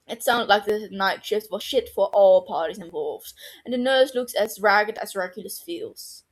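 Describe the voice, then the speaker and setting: A woman reading fan fiction aloud in an even, steady narration. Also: mid-range voice. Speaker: podfic reader.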